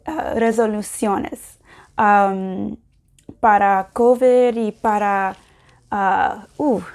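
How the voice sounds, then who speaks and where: A young woman, a native English speaker, talking through a casual video diary in learner Spanish, halting and correcting herself with many fillers, recorded at home on a lapel mic. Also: medium pitch, darkish tone. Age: young adult. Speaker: language learner vlogger. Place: indoor room at home.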